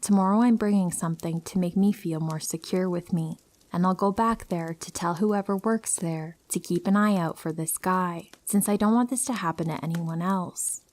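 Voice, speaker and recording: Low-voiced woman reading horror stories. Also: moderate pace, darkish tone. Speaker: horror story narrator.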